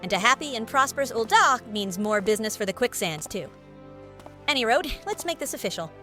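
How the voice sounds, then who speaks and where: An actress playing a brisk, plain-spoken innkeeper with a British accent and a frank, chatty manner in a video game. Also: female voice, fairly high pitch, big pitch swings, bright, forceful tone, faint music behind. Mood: welcoming, businesslike. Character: brisk, plain-spoken woman innkeeper running an adventurers' guild. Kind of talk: scripted video game cutscene acting.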